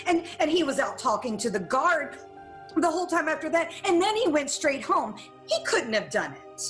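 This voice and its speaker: A woman's voice: sits high, very animated, normal speed, fairly bright tone, soft background music. A woman playing a secretive studio employee in a 1940s radio noir, narrating in a confessional way. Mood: pleading, anxious. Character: scheming young female studio employee, secretly complicit in murder.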